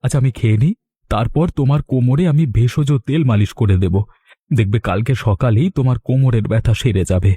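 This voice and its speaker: An actor voicing a good-natured village man in a cartoon, in an expressive, husky voice. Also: mid-range voice, brisk, lightly acted. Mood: mild, earnest. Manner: animated, expressive. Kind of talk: Bengali cartoon voice acting.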